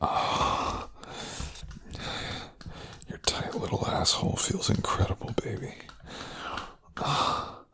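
A man's voice: low-pitched, slow pace, creaky, long pauses, full character voice. A man playing a cold, domineering, cruel lover in a whispered adult audio roleplay, speaking close and taunting.

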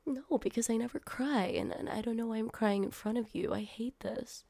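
A guarded young woman opens up to her brand new driver in a one-sided audio roleplay, played by a young woman. Her voice is husky and slightly gravelly, with a dark, mellow tone, and she keeps it hushed, close to a whisper. The sound is dry, with no room around it. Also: on the high side, normal speed, no echo. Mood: tearful. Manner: soft, hushed, half-whispered delivery.

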